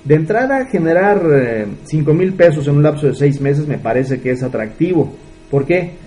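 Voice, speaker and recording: Earnest Mexican man coaching, mellow voice. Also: a little high, animated, normal speed, a little hiss or hum. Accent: Mexican Spanish. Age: 30s. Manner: earnest, coaching, asks rhetorical questions. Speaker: business mentor. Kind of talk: motivational talk.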